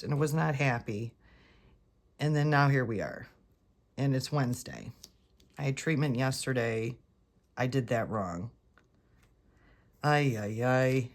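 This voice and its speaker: A woman rambling casually to her viewers as she decorates a planner, correcting herself as she goes, her voice congested and a little off. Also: extremely low pitch. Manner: chatty, self-correcting, talks to viewers while working. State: congested, voice a bit off. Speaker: planner vlogger. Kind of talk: casual rambling craft chat.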